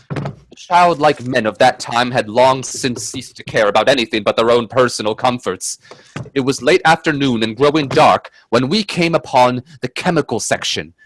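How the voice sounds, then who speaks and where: A man playing an earnest, anxious gentleman companion in a radio play, sounding dramatic and grave. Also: mid-range voice, slight background noise, close to an everyday voice, rough recording. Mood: grave narration. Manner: earnest, hesitant in dialogue, measured in narration.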